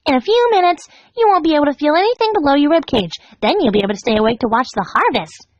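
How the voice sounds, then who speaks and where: A woman acts out a young female party pony from a dark storybook tale, bubbly and gleefully cruel. The voice is pitched well up and swoops in a perky singsong, joking even as it threatens. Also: quick pace, no echo, lo-fi sound. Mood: cheerfully menacing. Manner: singsong, jokey, perky while menacing. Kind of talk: storybook fan fiction character voice.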